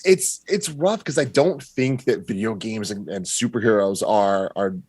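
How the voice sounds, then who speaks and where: A man's voice: lowish voice, unhurried, a little mellow, breathy edge, no echo. Man chatting casually, dry sound. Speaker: podcast guest. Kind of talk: casual opinionated discussion.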